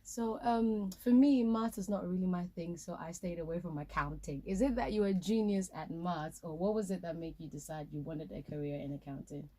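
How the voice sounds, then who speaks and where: Female interview host, mellow and breathy, friendly and encouraging. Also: on the low side, fairly quick, hardly any pauses. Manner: friendly, encouraging with short backchannels. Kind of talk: online interview chat.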